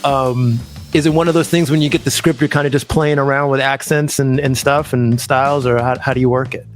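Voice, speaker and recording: A man's voice: mid-range voice, fairly even intonation, a little fast, faint music behind. A man hosting an interview, asking long, enthusiastic questions in casual chat. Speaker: interview host.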